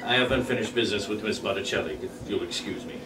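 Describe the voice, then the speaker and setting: A man's voice: lowish voice, quick pace, loud music behind the voice, lightly acted. An actor voicing a pompous, formal man who makes veiled threats, at a table read of a comedy script. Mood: haughty. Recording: roomy, distant mic with hiss.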